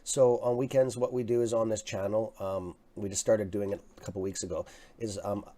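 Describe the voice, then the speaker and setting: A man with a raspy voice hosting a live stock market stream, talking casually and excitably to his viewers. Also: lowish voice, fairly quick. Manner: excitable, swears, talks to chat as "guys". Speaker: livestream stock trading host.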